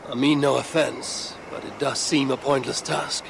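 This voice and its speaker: An actor playing a stern, determined warrior in a Norse fantasy video game, speaking in a formal, serious way. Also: male voice, mid-range voice, steady tone, moderate pace, vocal fry, background noise, natural voice. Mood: questioning, serious. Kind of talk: video game character acting.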